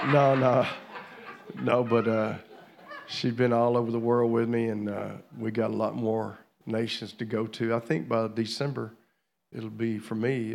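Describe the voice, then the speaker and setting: A man's voice: fairly low pitch, gravelly, darkish tone. A man, preaching from a church pulpit as a traveling minister in a folksy, joking way. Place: church sanctuary.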